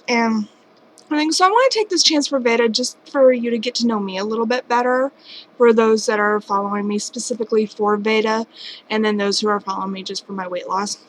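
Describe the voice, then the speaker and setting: Late-twenties woman, vlogger, higher-pitched, chatty and self-deprecating, home camera mic. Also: fairly quick, low-quality recording. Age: late 20s. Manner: rambling, candid, self-deprecating. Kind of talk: casual personal vlog. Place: home at night.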